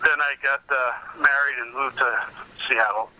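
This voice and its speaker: A man in his fifties chatting casually as an interview guest, telling anecdotes in an animated way and quoting himself over a dull call connection. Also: medium pitch, normal speed. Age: 50s. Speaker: podcast guest, comic collector.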